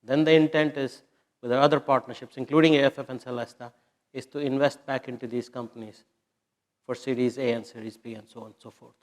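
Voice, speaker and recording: A man's voice: medium pitch, steady tone, fairly quick. A man speaking as an executive at a press conference, answering questions in a confident, emphatic way. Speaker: company executive.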